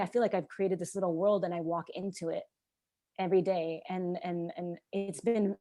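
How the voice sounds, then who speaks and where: A young woman whose first language is Czech, chatting as a podcast guest in a rambling, animated way with frequent laughter. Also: on the low side. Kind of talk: casual interview chat.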